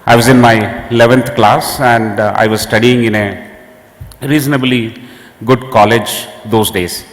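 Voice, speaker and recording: A middle-aged man with an Indian English accent giving a measured, anecdotal keynote at a conference, pausing often with "uh". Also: mid-range voice, steady tone. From southern India. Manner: anecdotal, measured, frequent "uh" pauses. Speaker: conference speaker for a university. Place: large conference hall. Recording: long hall reverb from a venue PA.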